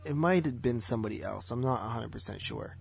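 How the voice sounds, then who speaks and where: A man co-hosting a podcast, talking about movies in a casual, halting, conversational way. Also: mid-range voice, creaky. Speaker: podcast co-host. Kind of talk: casual movie review chat.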